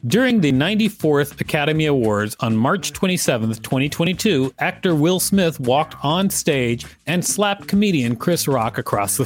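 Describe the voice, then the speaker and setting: A man hosting a podcast, reading an article aloud and adding casual commentary. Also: mid-range voice. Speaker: podcast host.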